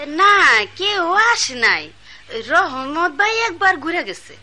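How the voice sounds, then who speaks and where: A woman's voice: very high-pitched, big pitch swings, takes it slowly, sharp tone, heavily acted. An actress playing a poor working mother who serves as a household maid, speaking an in a radio drama. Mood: timid.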